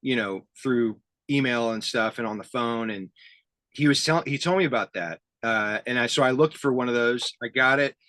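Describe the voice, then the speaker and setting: A man with a bright, forward voice and a mid-range pitch hosts a relaxed interview. He talks in a halting, self-deprecating and enthusiastic way. The sound is dry and close, with hardly any room. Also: dry recording. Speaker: interview host. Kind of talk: casual conversational interview about tape gear.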